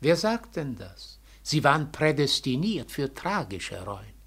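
An actor playing a middle-aged cabaret director, glib, patronizing and theatrical, with a gravelly, husky voice in a 1940s radio play. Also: male voice, medium pitch, sing-song, unhurried, fairly bright tone, full character voice. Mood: mock enthusiasm. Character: glib, cynical cabaret director, condescending and dismissive. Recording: vintage 1940s radio recording.

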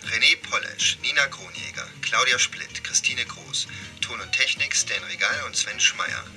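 A man's voice: mid-range voice, moderate pace, bright, forceful tone. Distant male radio credits announcer. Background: music.

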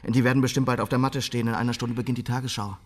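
A man playing a cynical, scheming party strategist in a German radio drama, with a sardonic, brisk delivery. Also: medium pitch, steady tone, lightly acted.

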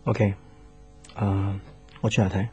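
Radio drama lovesick workaholic young man: low voice, earnest, understated, music underneath. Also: level tone, slow pace, dark tone, highs cut off. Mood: businesslike, easygoing. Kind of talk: Cantonese radio drama acting.

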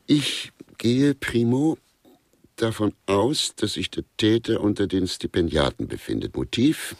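A wry literary man in a radio drama. His very deep voice is husky and recorded dry and close. He speaks with pedantic, deadpan relish, savouring every list. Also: measured pace, dry recording. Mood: wry, musing. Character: wry male writer with writer's scruples, gossiping about suspects. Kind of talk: German radio play dialogue.

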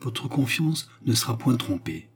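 A man voicing a young Russian count in storybook dialogue, a gallant, loyal and ardent gentleman with formal, earnest speech. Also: mid-range voice, very animated, measured pace, husky, voice pushed up. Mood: sincere.

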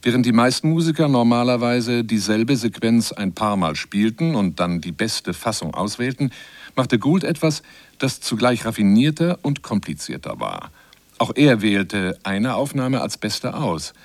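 A man reading a scripted radio essay in a measured, articulate and explanatory manner. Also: mid-range voice, animated, no room sound. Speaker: radio feature narrator. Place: radio studio.

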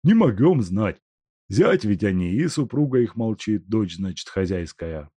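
A man's voice: lowish voice, big pitch swings, measured pace, mellow, slightly rough, dry recording, full character voice. A man voicing plain, apologetic factory workers who shrug off responsibility. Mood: sheepish. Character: sheepish common male factory workers.

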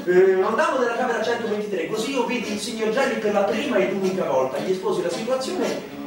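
A man's voice: sits high, lively intonation, quick pace. An actor playing an elderly, wry newspaperman who recounts memories candidly and hesitantly in a theatrical monologue. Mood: wry reminiscence. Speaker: stage actor. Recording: echoey stage, distant mic, music behind.

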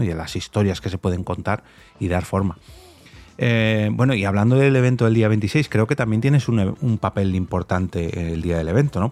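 A man's voice: low voice, darkish tone. A man with an accent from Spain hosts a casual interview, commenting at length in a conversational way. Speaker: podcast host interviewing a guest.

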